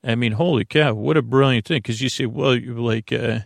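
A man hosting a sleep podcast, with a gravelly voice and a halting, meandering delivery that stammers, repeats words and trails off. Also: medium pitch, no echo, clean studio sound. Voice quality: gravelly, creaky. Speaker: host of a bedtime sleep podcast. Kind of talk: rambling bedtime monologue.

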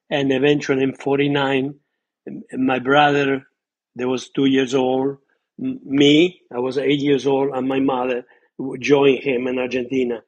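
A man's voice: medium pitch, low-quality recording. A man in his eighties with an Italian accent, a retired surgeon speaking as a podcast guest, telling his life story earnestly and often restarting as he searches for words. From Italy. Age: 80s. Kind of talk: reminiscing interview storytelling.

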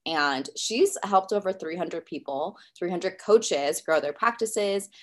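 A woman's voice: fairly low pitch, expressive, takes it slowly. A young woman from California hosting a podcast, sounding stuffed up and a little nasal from a cold, bubbly and gushing with frequent laughs. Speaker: podcast host. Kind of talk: upbeat podcast intro.

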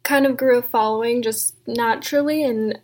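A woman's voice: on the high side, fairly even intonation, moderate pace. A woman in her twenties hosting a podcast, chatting with a guest over a video call in a friendly way with fillers. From Texas. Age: 20s. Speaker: podcast host. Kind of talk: casual video-call interview.

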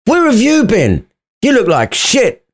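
A man's voice: very high-pitched, huge pitch swings, a little slow, a little hiss or hum, pitched above the performer's own voice, heavily acted. A man voicing a rowdy, mocking young male friend in a storybook retelling. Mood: teasing. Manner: teasing, crude.

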